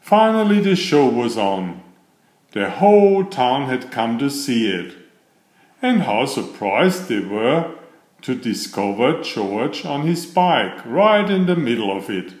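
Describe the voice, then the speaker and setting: A man narrates a children's picture book aloud. His delivery is slow and deliberate, with expressive, wide-ranging pitch. The sound is roomy and echoing. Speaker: storybook reader.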